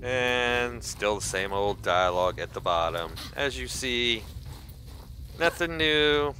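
A man's voice: medium pitch, animated, takes it slowly, bright, forceful tone. A man giving casual game commentary as host of a gaming video, with game ambience behind him. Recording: clear background noise.

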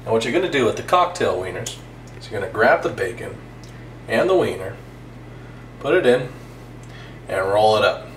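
Deadpan young man, echoey kitchen. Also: lowish voice, moderate pace, long pauses. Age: young adult. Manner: deadpan, absurd tall tales told straight. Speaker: cooking show host. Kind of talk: mock cooking show, deadpan parody. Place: home kitchen, indoors. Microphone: camera mic from a few feet away. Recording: echoey kitchen, distant camera mic, noisy.

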